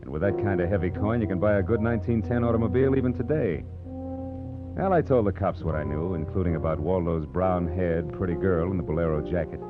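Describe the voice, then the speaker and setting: A male actor plays a hard-boiled American private eye from 1940s radio. The voice sits low, wry and wisecracking. The recording is dull and vintage, with music underneath. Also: a little fast, close to an everyday voice. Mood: tense narration. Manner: sardonic, clipped wisecracking. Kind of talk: 1940s radio detective drama acting.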